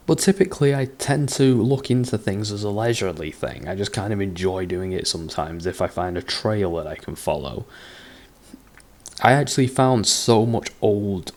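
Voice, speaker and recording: A man's voice: on the low side, a little mellow. Sweary, exasperated man. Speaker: comedic book reader. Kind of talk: sarcastic dramatic reading with commentary.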